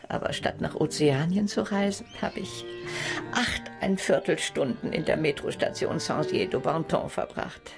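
A quirky old woman in a radio crime play, her voice full of creak. She is plain-spoken and sounds like someone who has been let down in love and tells it straight. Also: low-pitched, normal speed, over music. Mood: wry, aggrieved. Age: older. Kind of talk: German radio crime drama acting.

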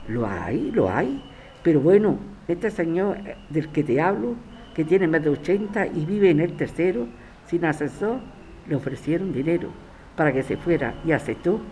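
A woman's voice: low voice, very animated, moderate pace, dark tone, low-quality recording. A woman playing a defiant grandmother who resists eviction, interviewed in a radio drama. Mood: worried, defiant. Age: older. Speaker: interviewed tenant. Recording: clear ambient street noise.

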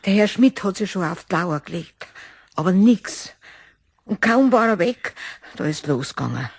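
A woman playing a worried, pleading garden owner with a Bavarian accent and a husky voice in a German children's radio play. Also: lowish voice, sing-song, normal speed, no echo, full character voice. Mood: troubled. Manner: plaintive, anxious.

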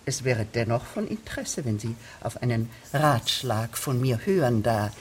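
A woman's voice: a bass voice, expressive, normal speed. A fussy, pompous female village official in a radio play. She speaks in winding, officious bureaucratic phrases, over faint background noise. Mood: fussy, insistent. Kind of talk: radio drama acting.

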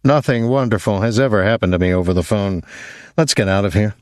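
A man's voice: lowish voice, a little fast, a little mellow, dry recording, clean studio sound, lightly acted. A man playing a curt, impatient young man. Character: impatient, dry young businessman.

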